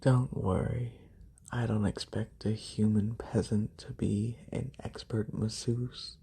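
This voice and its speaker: A man playing a haughty, wealthy lamia noble in a soft, breathy ASMR whisper, slow and deliberate, close to a binaural microphone. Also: on the low side, level tone, exaggerated voice. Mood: haughty, soothing.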